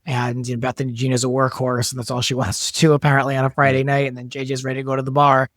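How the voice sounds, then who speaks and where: A man's voice: medium pitch, flat delivery, very fast, a bit bright, no echo, studio quality. A man talking casually in an interview as a show's creator and producer. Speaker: show creator and executive producer, podcast guest.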